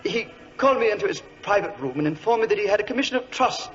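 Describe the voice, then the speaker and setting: Casting: well-bred young government clerk, excitable, polite, fairly high voice, muffled vintage radio play with some hiss. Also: male voice, quick pace, over loud music. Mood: distraught, nervous. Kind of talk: 1940s radio acting.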